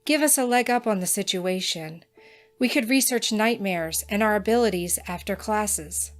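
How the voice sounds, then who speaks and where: A woman's voice: mid-range voice, normal speed, natural voice. An actress playing a practical young female student with magic powers who talks earnestly and keeps proposing plans.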